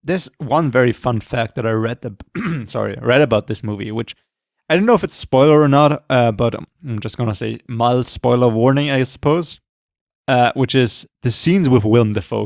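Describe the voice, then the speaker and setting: A man co-hosting a podcast, talking casually about horror films, rambling and swearing often, with a voice going hoarse. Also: medium pitch, moderate pace, a little mellow, dry recording, studio quality. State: losing his voice, throat clearing. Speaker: podcast co-host. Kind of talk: casual film chat.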